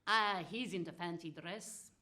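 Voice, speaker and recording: A woman's voice: lowish voice, measured pace, bright, forceful tone. A woman playing a spiteful, gleeful, foul-mouthed witch who tells comic fairy tales in a theatrical, cackling manner. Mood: spiteful, aggrieved. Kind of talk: comic storytelling monologue.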